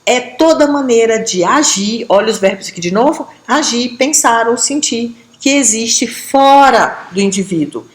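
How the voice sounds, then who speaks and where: A woman from Brazil giving a sociology lesson, explaining step by step and checking in with quick tag questions. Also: on the high side, lively intonation, echoey room. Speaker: sociology teacher.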